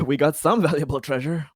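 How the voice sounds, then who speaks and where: Halting, sweary man in casual chat. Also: on the high side, slightly rough, no echo, clean studio sound. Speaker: tabletop game player. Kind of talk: casual tabletop game chat.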